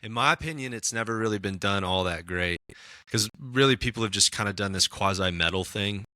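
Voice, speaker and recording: A raspy-voiced man in casual podcast chat, talking about his music with lots of fillers and restarts. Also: fairly low pitch, fairly quick, pushed and bright, dry recording, studio quality. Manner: rambling, many fillers and restarts. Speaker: musician guest.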